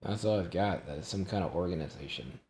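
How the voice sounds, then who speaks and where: A man running a tabletop game as game master, narrating casually in a halting, hesitant way. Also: sits low, lively intonation, a little mellow, a little hiss or hum.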